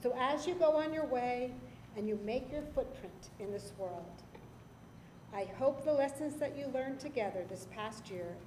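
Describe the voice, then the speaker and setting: A woman speaking as temple president, congratulating a confirmation class at a service. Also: a little high. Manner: warm congratulatory address. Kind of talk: speech at a service. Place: synagogue sanctuary. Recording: echoey sanctuary with faint noise.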